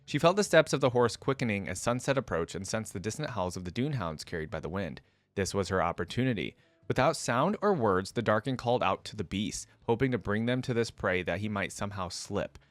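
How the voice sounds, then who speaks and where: A man's voice: lowish voice, lively intonation, a little fast, dry recording, soft background music. A man hosting reaction videos, moving between casual commentary and reading passages aloud. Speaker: YouTube reaction host.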